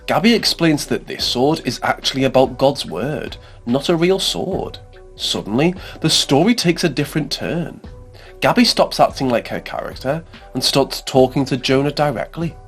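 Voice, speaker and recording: A man reading a scripted explainer narration in a measured, formal way. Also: mid-range voice, lively intonation. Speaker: narrator of video essays. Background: background music under voice-over.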